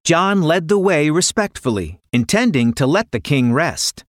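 Animated, higher-voiced male storyteller. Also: a little slow, dry recording, studio quality. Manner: dramatic, playful sound words. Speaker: storyteller, narrator. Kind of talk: animated children's fairy tale narration.

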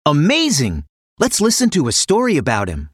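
Animated woman narrating a children's story. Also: low-pitched, normal speed, slightly rough, dry recording, clean studio sound. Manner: expressive storytelling.

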